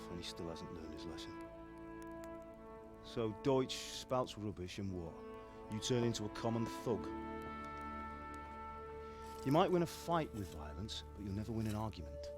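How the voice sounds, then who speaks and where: An actor playing a stern middle-aged blacksmith father in a video game, with a gruff, lecturing manner. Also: lowish voice, lightly acted. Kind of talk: dramatic video game acting. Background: music.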